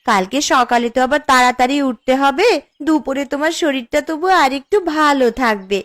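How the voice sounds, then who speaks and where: A woman's voice: high voice, fairly quick, fairly bright tone. A woman voicing a boastful village housewife in a cartoon story, with a showy, wheedling, homely way of talking. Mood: caring. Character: boastful, envy-stirring village housewife, sweet to her husband. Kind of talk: Bengali cartoon story dialogue.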